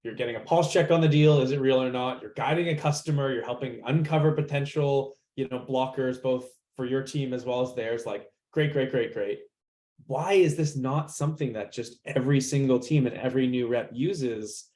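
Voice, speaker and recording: A man's voice: medium pitch, moderate pace, warm tone. A man hosting a discussion in a conversational, informal way, thinking aloud and posing rhetorical questions. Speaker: panel moderator. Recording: roomy, echoey sound.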